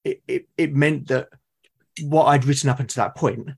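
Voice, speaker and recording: A man's voice: mid-range voice, normal speed, gravelly, a little mellow, slightly rough. A man in a conversational interview as a podcast guest, explaining his creative process and often repeating words as he thinks aloud. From Britain.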